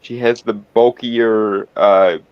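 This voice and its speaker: A man's voice: fairly low pitch, fairly even intonation, takes it slowly, clear, resonant tone, dry recording. A man chatting as a tabletop game player, explaining rules and giving advice in a matter-of-fact way. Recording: dry, dull lo-fi microphone.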